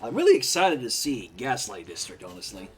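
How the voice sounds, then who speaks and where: A man chatting casually with friends in an animated way, with faint music in the background. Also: medium pitch, a little fast. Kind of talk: casual chat. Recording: music behind the voice.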